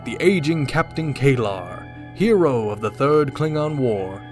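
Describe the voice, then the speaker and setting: A man's voice: mid-range voice, natural voice. A man playing a portentous announcer narrating an old-time space adventure radio serial. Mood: ominous, dramatic. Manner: dramatic, portentous delivery. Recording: loud music under the voice. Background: music.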